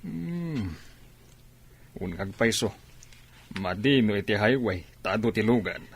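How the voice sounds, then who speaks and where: Animated, husky, authoritative father played by a man. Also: on the low side, takes it slowly, heavily acted. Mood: matter-of-fact. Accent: Ilocano. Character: authoritative, well-off father of a college son. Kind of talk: Ilocano radio drama acting.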